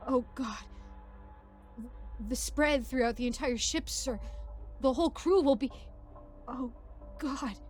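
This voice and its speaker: Shell-shocked male lieutenant, voiced by a woman, high-pitched, subdued and stammering, for a comic sci-fi tale. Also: slow, pauses often, darkish tone, soft background music.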